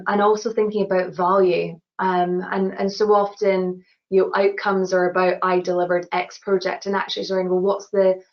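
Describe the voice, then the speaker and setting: Glaswegian woman speaking in a flat, even tone. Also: on the low side. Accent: Scottish (Glasgow). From Glasgow, Scotland. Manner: earnest, many restarts and "you know" fillers. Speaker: award-winning engineer guest. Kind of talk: webinar Q&A answers. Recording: dull lo-fi webinar audio.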